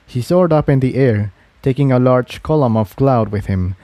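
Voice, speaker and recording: A man's voice: medium pitch, normal speed, warm tone, no echo. A man reading a fantasy adventure story aloud as a scripted narrator. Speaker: narrator, fan fiction reader.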